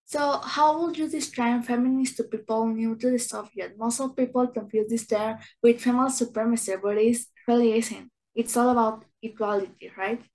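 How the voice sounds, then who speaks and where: A woman's voice: on the high side, fairly even intonation, normal speed, few pauses, darkish tone, low-quality recording. A woman interviewer reading out prepared questions and introductions to a guest.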